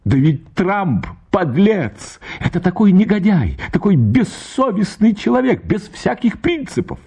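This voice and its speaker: Мужчина играет возмущённую молодую жену, высокий, скачущий голос. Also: male voice, high voice, very animated, measured pace, gravelly, mellow, husky, pitched above the performer's own voice, exaggerated voice. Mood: indignant. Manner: exclamatory, emphatic moralizing. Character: indignant young wife, naive, loudly righteous. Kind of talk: dramatized literary short story reading.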